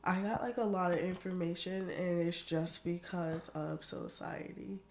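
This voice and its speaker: A woman's voice: fairly low pitch, steady tone, a little slow. A young woman from the United States chatting casually on a podcast, full of fillers and frequent laughter. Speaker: podcast guest.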